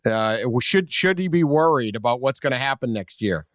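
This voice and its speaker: A man's voice: mid-range voice, animated, moderate pace, no room sound, studio quality. A man with a Boston accent hosting a sports podcast in casual, joking chat. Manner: joking, sardonic. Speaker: podcast host.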